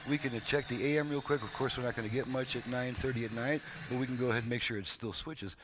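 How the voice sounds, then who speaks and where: A man's voice: mid-range voice, fairly even intonation, fast, background noise. American man giving a casual demo. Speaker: repair technician demonstrating a radio. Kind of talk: casual unscripted product demonstration.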